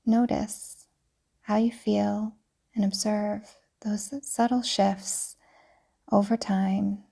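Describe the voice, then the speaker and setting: A woman yoga teacher narrating a guided meditation in a soft, breathy, soothing way, deliberately, with pauses. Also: medium pitch. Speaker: yoga nidra teacher guiding a practice.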